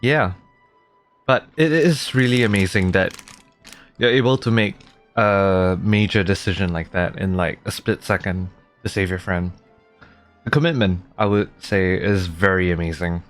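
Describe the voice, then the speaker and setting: A man's voice: deep voice, a little slow, faint music behind. A man streaming a game with casual, reactive, rambling commentary. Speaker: gaming streamer.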